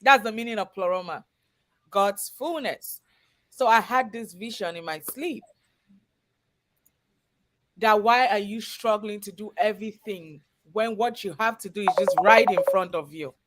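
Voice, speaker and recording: A woman's voice: mid-range voice, unhurried. A woman with a Cameroonian accent telling her personal story as a guest in a live interview, earnest and conversational. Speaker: guest, chef and business owner.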